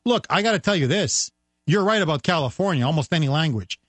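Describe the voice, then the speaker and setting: A man's voice: a little high, lively intonation, fast, breathy edge, no room sound, faint music behind. Guy hosting talk radio, rhetorical and pushy, speeds up on his points, dry studio sound. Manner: forceful, rhetorical, speeds up when pressing a point. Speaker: guest radio host. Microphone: studio microphone.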